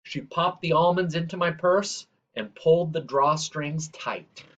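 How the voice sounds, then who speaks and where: A man reading a children's story aloud in a measured, expressive way, drawing out the ends of phrases. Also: a little high, dull audio. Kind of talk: read-aloud scripted narration.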